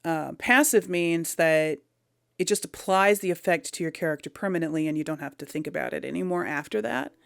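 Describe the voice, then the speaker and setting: A woman's voice: low voice, a little fast, creaky, no room sound, studio quality. A woman giving casual video game commentary, thinking aloud in a hesitant, musing way. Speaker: video game let's player.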